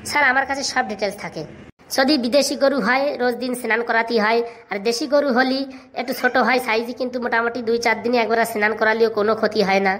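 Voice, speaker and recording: Woman doing cartoon village schoolboy, cheeky boastful know-it-all, talks super fast, kinda high voice. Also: steady tone, fairly bright tone, close to an everyday voice. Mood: eager reciting. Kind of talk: comic cartoon dialogue.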